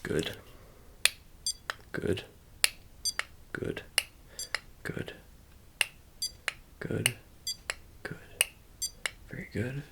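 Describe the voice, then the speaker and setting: A man doing an ASMR roleplay, talking casually to the viewer in a halting, rambling, self-correcting way. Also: mid-range voice, expressive, creaky, heavy background noise. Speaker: ASMR creator.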